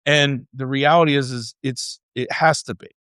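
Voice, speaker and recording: A man's voice: medium pitch, steady tone, normal speed, a bit bright, clean tone, no echo. Man explaining things conversationally, dry close sound. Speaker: podcast host.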